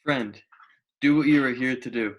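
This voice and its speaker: A man reading the part of Jesus, calm and resolute, in a church Passion reading. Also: mid-range voice, normal speed, muffled recording, natural voice. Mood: grave, resolute.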